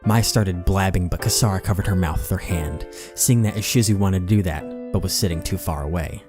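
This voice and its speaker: A man's voice: low-pitched, fairly quick. A man reading a story aloud in a theatrical narrating style with music behind him. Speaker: channel host reading fan fiction aloud. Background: background music.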